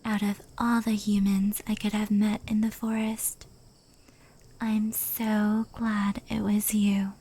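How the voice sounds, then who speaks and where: A woman playing a proud, affectionate fox spirit in an intimate ASMR roleplay, speaking earnestly in a soft, breathy whisper. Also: medium pitch, monotone, slow pace. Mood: tender, loving.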